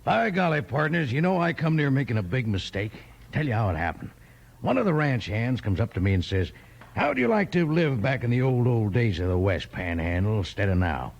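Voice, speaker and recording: A man pitching a product in a folksy, exclaiming cowboy style, like a 1950s radio commercial. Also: gravelly, slightly rough. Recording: muffled old radio recording.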